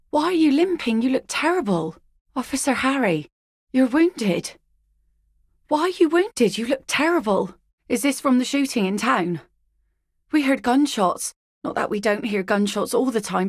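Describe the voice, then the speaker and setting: A woman's voice: on the high side, no echo. An actress voicing a weary working-class fisherwoman in a game, blunt and matter-of-fact. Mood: worried. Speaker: game character voice lines. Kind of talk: video game voice acting. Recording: dry close studio recording.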